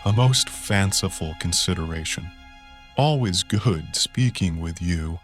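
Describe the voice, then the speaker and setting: Polite genial churchman, deep voice, faint music behind. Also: expressive, measured pace. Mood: amused modesty. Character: modest, genial medieval French cardinal deacon. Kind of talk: audio drama acting.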